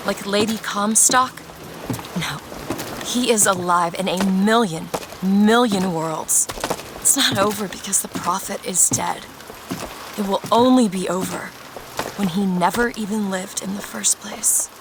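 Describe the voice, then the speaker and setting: A woman's voice: medium pitch, natural voice. An actress playing a resolute, all-knowing young woman in a dramatic video game, speaking firmly and solemnly. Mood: grave. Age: young adult. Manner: firm, solemn, deliberate. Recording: clear background ambient noise.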